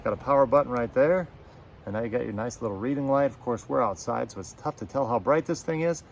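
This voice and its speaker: Folksy dude doing a casual product review, slangy n playful, noticeable outdoor noise behind him. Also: male voice, mid-range voice, fast talker, vocal fry.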